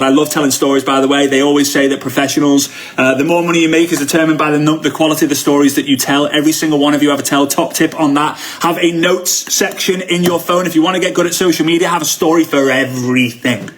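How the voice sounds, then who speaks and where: A man with a Liverpool accent giving a motivational online training talk as a coach, excitable and emphatic, repeating phrases for effect. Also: fairly high pitch, fast talker, hardly any pauses, a little hiss or hum. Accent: Liverpool English. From Liverpool, United Kingdom. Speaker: network marketing coach.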